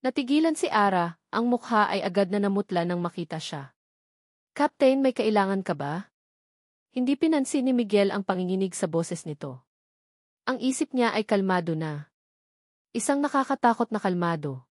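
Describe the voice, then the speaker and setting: A woman tells a scripted love story as a dramatic narrator. Her phrasing is deliberate and broken into short, weighted lines. The sound is dry, with no room around it. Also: mid-range voice, expressive, dry recording.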